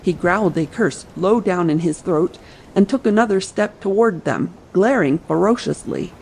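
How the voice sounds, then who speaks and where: A woman's voice: lowish voice, expressive, normal speed, vocal fry, a little mellow, a little hiss or hum, low-quality recording. A woman reading scripted audiobook narration as the storyteller. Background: faint ambient sea sound.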